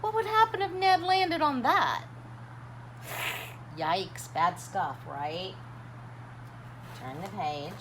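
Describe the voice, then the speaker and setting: A woman reading a children's picture book aloud like a teacher, dramatic and expressive, chatting and asking questions of young listeners. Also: medium pitch, slow pace, long pauses. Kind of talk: animated storytime read-aloud with commentary and questions. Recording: clearly noisy background.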